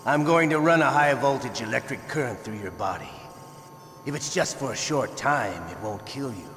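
A sadistic game villain, a torturer who taunts theatrically. His voice is gravelly and sharp, menacing in every drawn-out phrase, and it rings through a long reverb. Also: medium pitch, lively intonation. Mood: menacing, taunting. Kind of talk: video game villain acting. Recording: echoey metallic room, faint noise.

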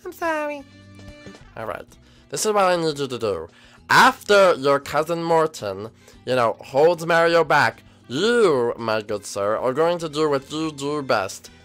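A man's voice: a little high, big pitch swings, unhurried, fairly bright tone, faint music behind. A man doing a whiny bratty turtle prince. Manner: whiny, hesitant, stammering.

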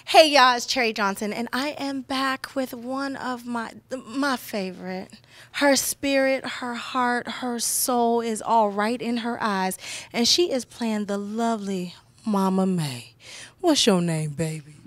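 An American woman in her thirties hosting a promotional interview, gushing and animated. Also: fairly high pitch, unhurried, no echo. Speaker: interviewer, host. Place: indoor studio backdrop. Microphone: handheld microphone.

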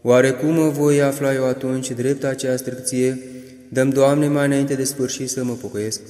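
A man reading prayers aloud in a solemn, measured, liturgical manner, lifting his voice on each plea. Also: mid-range voice, flat delivery, mellow, roomy sound, big-room sound. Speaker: prayer reader.

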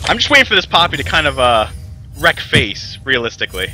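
A young man doing casual live commentary on a college esports match, smooth-voiced, laughing easily and correcting himself as he goes. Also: mid-range voice, big pitch swings, a little fast, sharp tone, soft background music. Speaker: esports caster.

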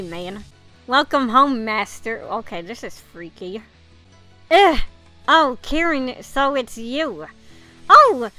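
A woman's voice: sits high, pushed and bright. A woman doing a casual playthrough of a visual novel, reading dialogue aloud and reacting informally. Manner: reactive, informal, mild swearing.

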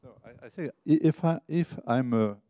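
A man in a conference audience asking a long question, hesitant with many pauses and restarts. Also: medium pitch, dark tone, no echo.

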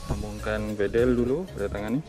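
A man's voice: fairly low pitch, fairly even intonation, brisk, music in the background. A man in his thirties with a Malaysian accent, chatting casually and colloquially to viewers as an outdoor fishing vlogger. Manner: colloquial, addresses viewers directly. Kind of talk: casual outdoor vlog chat. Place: outdoors at a shore and on a kayak at sea.

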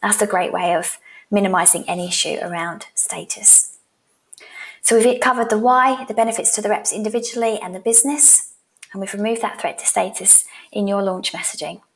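A woman's voice: mid-range voice, a little fast, poor audio. A woman in her thirties presenting as a sales coach in an instructional style, direct and encouraging, speaking into a headset mic. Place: small room at home, indoors.

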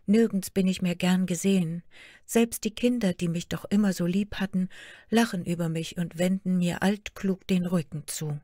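A woman's voice: on the low side, fairly even intonation, normal speed, mellow, no echo, clean studio sound, pitched above the performer's own voice, natural voice. A woman voicing Märchen, a young girl who is the spirit of fairy tales, pleading and tearful. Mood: plaintive.

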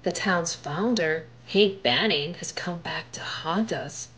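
A woman's voice: lowish voice, slow pace, a bit bright, a little hiss or hum. A woman voicing a worried, earnest young townswoman in a storybook, explaining carefully. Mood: grave.

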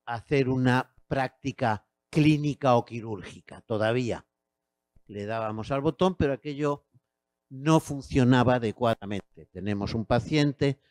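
A man in his sixties from Spain, with a Castilian Spanish accent, giving a measured academic lecture into a handheld mic in a university auditorium, with hesitant fillers. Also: medium pitch, darkish tone, dry recording. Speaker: guest lecturer.

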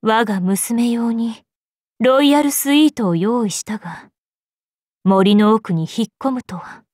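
A woman's voice: on the high side, a little slow, darkish tone, no echo, studio quality. A woman playing a composed, stern yet motherly fairy queen in an anime game, speaking formally and with dignity. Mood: composed concern.

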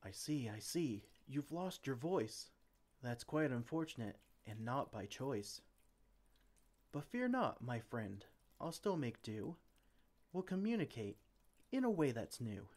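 Man acting a flustered, theatrical mage with swooping pitch. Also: very animated, slow pace, darkish tone. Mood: pleading, reassuring. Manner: theatrical, exclamatory, speaks to a silent assistant. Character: flustered, well-meaning male mage and potion brewer. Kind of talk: ASMR roleplay acting.

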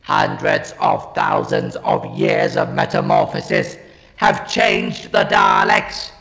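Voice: medium pitch, takes it slowly, vocal fry, fairly bright tone, big-room sound. An actor playing a Dalek, a fanatical, despairing alien war machine, with a harsh electronic voice and stilted staccato delivery in a sci-fi audio drama. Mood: fanatical fury. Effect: metallic robotic voice modulation.

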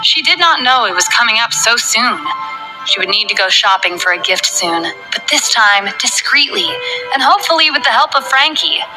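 A woman narrating a romance novel in a smooth, even reading voice, over faint music. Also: on the high side, moderate pace, low-quality recording. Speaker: audiobook narrator.

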